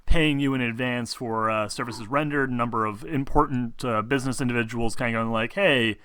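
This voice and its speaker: A man runs a tabletop roleplaying session as game master. His voice is bright and very dry, with no room around it. He talks informally, swearing freely and halting as he builds the scene. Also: mid-range voice, steady tone, clean tone, no echo.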